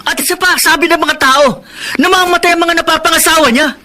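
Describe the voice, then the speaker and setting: An actor playing a defiant, sullen twenty-year-old son in a Filipino radio drama, curt and confrontational. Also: male voice, extremely high pitch, fairly even intonation, brisk, sharp tone, a little hiss or hum, exaggerated voice. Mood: angry.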